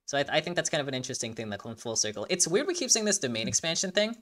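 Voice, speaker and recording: An animated host giving casual reaction commentary and breakdown, rambling, repeating words and swearing. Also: mid-range voice, racing.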